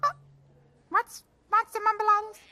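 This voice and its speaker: A tiny furry monster boy, very high squeaky voice, speaks of himself in third person, music under. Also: monotone, measured pace, pushed and bright, exaggerated voice. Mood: curious. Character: small excitable furry red monster boy.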